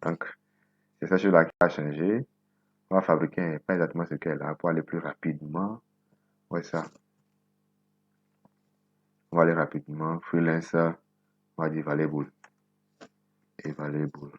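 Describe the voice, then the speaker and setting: A man in his twenties from Benin, whose first language is Nago, hosting a coding tutorial and thinking aloud slowly as he types, repeating words to himself. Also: very deep voice, level tone, dry recording, highs cut off. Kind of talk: casual screen-recorded coding tutorial.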